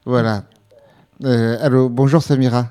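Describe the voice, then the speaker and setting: Mellow-voiced male radio host. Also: mid-range voice, fairly even intonation, unhurried. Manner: brief welcoming interjections. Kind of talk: radio hosting.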